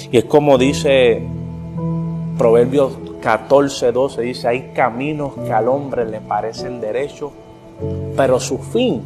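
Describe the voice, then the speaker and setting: A man from Puerto Rico with a Puerto Rican Spanish accent, earnestly telling his faith testimony and quoting scripture. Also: mid-range voice. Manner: earnest, quotes scripture, slows for emphasis. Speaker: testimony speaker.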